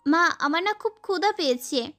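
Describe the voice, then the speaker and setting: Very high, bright girlish voice by a woman. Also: expressive, moderate pace, full character voice. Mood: reassuring. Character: sweet, girlish young daughter, innocent and pert. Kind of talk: amateur audio story acting. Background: background music.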